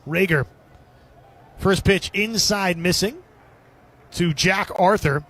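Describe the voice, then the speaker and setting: A man's voice: high-pitched, slow pace, fairly bright tone, husky. A man doing live radio play-by-play commentary of a baseball game.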